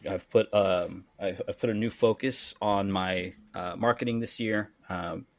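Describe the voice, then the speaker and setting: A man's voice: on the low side, fairly even intonation, a little slow, no room sound. A man guesting on a casual podcast about his hot sauce business, talking candidly and bluntly with plenty of fillers and restarts, and acting out the conversations he recounts. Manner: candid and blunt, many fillers and restarts, acts out quoted exchanges.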